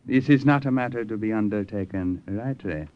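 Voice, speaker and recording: An actor in an old radio drama playing a cautious, hospitable Siamese colonel who speaks formally and deliberately. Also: male voice, on the low side, close to an everyday voice. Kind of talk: 1940s-50s radio drama acting. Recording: dull, lo-fi old radio recording.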